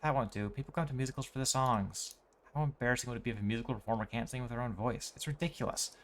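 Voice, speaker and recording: A man's voice: medium pitch, racing, fairly bright tone, natural voice. A man voicing a proud, worried female musical theater performer with formal phrasing, from game dialogue. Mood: principled, indignant. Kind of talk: reading game dialogue aloud.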